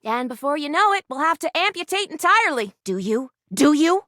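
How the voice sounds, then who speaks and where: A woman's voice: high voice, big pitch swings, brisk, pushed and bright, no echo, clean studio sound, heavily acted. A woman playing a perky, eccentric, gleefully morbid lady doctor in a video game, with gushing, old-fashioned, melodramatic phrasing. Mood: dramatic, gleeful. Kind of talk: animated video game character acting.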